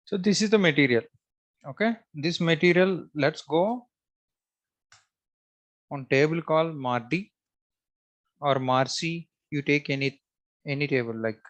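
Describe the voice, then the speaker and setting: A man giving a step-by-step screen-share software tutorial, thinking aloud in a halting, repetitive, instructional way. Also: a little high, muffled recording. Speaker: software trainer.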